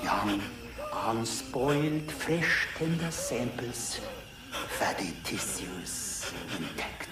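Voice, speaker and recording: A man's voice: medium pitch, lively intonation, drawn-out words. An actor playing a sadistic old German general, a video game villain who savors his words theatrically with a German accent. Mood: savoring, sinister. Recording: echoey distant room, music behind.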